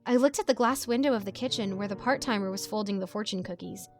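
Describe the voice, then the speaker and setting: A woman reading a scripted story as its narrator, with an expressive storytelling delivery. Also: mid-range voice, fast talker, faint music behind.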